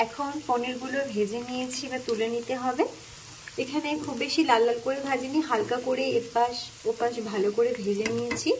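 Woman, Bengali accent, high voice, calm step-by-step cooking narration, faint frying in the background. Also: brisk, background noise. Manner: calm, plain instructional tone, listing ingredients. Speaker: cooking channel host. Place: home kitchen, by the stove.

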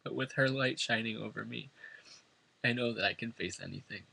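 A man's voice: medium pitch, fairly quick, vocal fry, pushed and bright, breathy edge, low-quality recording. A man from New York State reading a prepared eulogy at a funeral, earnest and emotional. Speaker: son giving a eulogy. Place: funeral service before family and friends.

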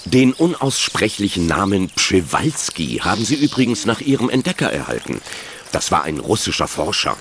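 Genial middle-aged country vet father, lowish and expressive, children's radio play. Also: normal speed, background noise. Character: friendly easygoing middle-aged father, a veterinarian. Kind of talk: children's audio drama acting.

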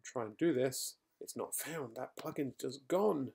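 A middle-aged man recorded at home, talking through a software tutorial as he types, with fillers and quick self-corrections. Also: mid-range voice, gravelly, a little hiss or hum. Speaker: software trainer. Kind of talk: screencast coding tutorial. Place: quiet home room.

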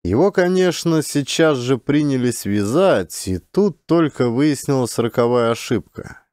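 Man narrating with lively, expressive intonation. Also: mid-range voice, a little slow, no room sound, clean studio sound. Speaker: audiobook narrator, actor. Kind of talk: dramatic literary audiobook narration.

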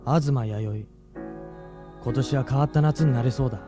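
An actor playing a level-headed, reassuring teenage schoolboy hero in a radio drama. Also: male voice, medium pitch, normal speed, music in the background, lightly acted. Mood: pensive.

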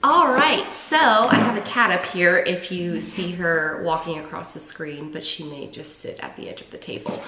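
A woman's voice: fairly low pitch, moderate pace, few pauses, bright, forceful tone, roomy sound, slight background noise, poor audio. Chatty congested young woman vlogging. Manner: chatty and upbeat. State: congested, stuffed up. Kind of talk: casual vlog talk to camera. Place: home, indoors. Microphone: camera mic at arm's length.

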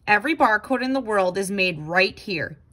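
A woman reading a children's picture book aloud in an expressive, dramatic, exclaiming way. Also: medium pitch, normal speed. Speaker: storybook reader.

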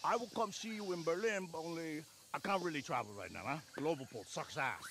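A man's voice: a little high, expressive, brisk, fairly bright tone, a little hiss or hum. A man playing a volatile, swaggering cartel-heir party host in a video game, effusive with a menacing edge, often ending phrases with "huh". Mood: hyped, boastful. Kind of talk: video game cutscene acting.

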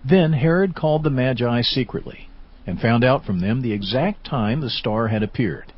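A man narrating a dramatized Bible in a measured, formal scripted style. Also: medium pitch. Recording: slightly dull audio over music.

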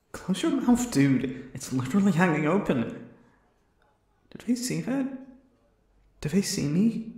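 A man's voice: on the high side, darkish tone, reverberant. A man playing a shy, flustered young man on a first date in an ASMR roleplay, rambling and stammering nervously. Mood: panicked, awed. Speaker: voice actor.

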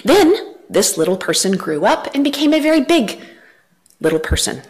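A woman's voice: high voice, normal speed. A woman in her thirties giving an earnest church talk from a lectern, gesturing as she speaks, on a headset mic. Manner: earnest, animated gestures, varied emphasis.

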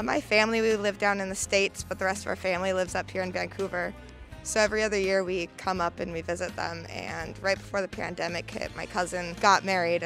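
A young woman outdoors, talking quickly and chattily about her family, holding a mic. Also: lowish voice, creaky. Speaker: street interviewee. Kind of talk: casual chat. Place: outdoors by a waterfront building. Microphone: handheld mic.

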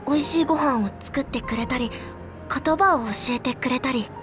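A woman playing an innocent little lizardfolk boy in a video game, with soft, simple, childlike speech. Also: high voice, moderate pace, music in the background, natural voice. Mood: fond recollection. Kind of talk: video game cutscene acting.

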